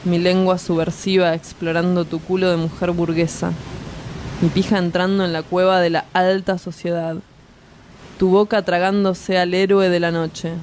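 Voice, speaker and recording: A lecherous, arrogant macho lover acted by a woman pushes and provokes in a radio play. The voice is on the low side, with faint noise in the background. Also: darkish tone, natural voice. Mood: lewd, scornful. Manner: provocative, insistent. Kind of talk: radio drama dialogue.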